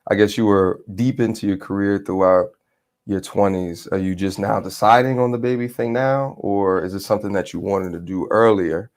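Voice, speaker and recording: A man's voice: on the low side, steady tone, moderate pace, darkish tone. A man on a dating show, confidently talking himself up and asking his date questions. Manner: confident, self-promoting. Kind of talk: casual date conversation.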